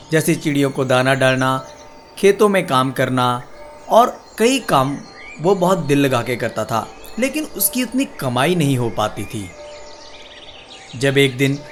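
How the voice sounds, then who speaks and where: A man works as storyteller for a cartoon moral tale. His voice is of average pitch. The delivery is scripted narration, moving from scene to scene.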